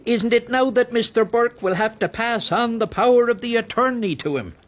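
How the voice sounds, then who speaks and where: An actor playing a wry, talkative country innkeeper with an Irish accent, probing and storytelling in a radio drama. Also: male voice, extremely high pitch, normal speed. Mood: indignant.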